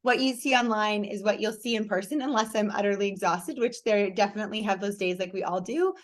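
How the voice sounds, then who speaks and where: A woman with a raspy, hoarse voice, telling her story openly and with energy as a guest in a conversation. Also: mid-range voice, brisk. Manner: energetic, candid, lively storytelling. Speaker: podcast guest, speaker and coach. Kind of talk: interview conversation.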